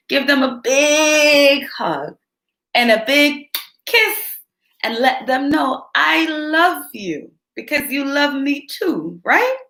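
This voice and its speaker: A woman reading a picture book aloud to young children, expressive and teacherly, often asking them questions. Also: on the high side. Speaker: children's story reader. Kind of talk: read-aloud storytelling for young kids. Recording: roomy echo.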